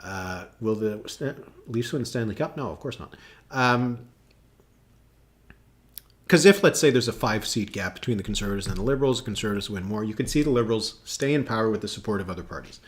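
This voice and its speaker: A man's voice: lowish voice, animated, a little fast. A man hosting a live election analysis stream, chatting casually with many fillers as he thinks aloud and reacts to viewers. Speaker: political analyst hosting a livestream.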